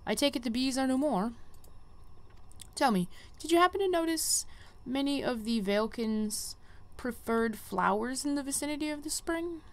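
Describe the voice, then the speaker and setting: A woman's voice: high-pitched, animated, voice pushed up. Woman voicing a solemn, formal quest-giving sage. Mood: solemn, questioning. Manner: formal, measured, archaic phrasing. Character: solemn, wise conjurer guildmaster giving a quest. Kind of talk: reading game quest dialogue aloud.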